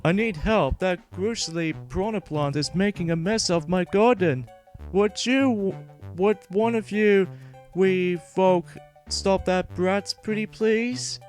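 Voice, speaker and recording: A man voicing a fretful giant garden caterpillar from a video game, pleading in a halting way. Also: high-pitched, a little mellow, pitched above the performer's own voice. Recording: music playing behind the voice.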